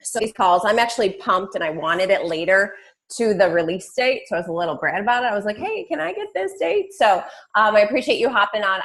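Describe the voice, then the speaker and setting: A woman with a mid-pitched voice chats casually as she hosts a team call. She talks like a fitness coach among friends, informal and slangy. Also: fairly bright tone. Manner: chatty, informal, peppered with slang and swearing. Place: online group call.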